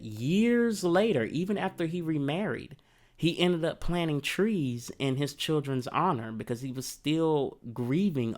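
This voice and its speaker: Earnest man coming down with a cold. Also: medium pitch. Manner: earnest, conversational, with fillers. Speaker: history channel host. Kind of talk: reflective history commentary.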